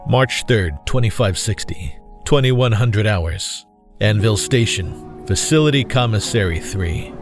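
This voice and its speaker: A man's voice: on the low side, lively intonation, measured pace. A man narrating a scripted dramatic story. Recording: clear music bed under voice. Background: music.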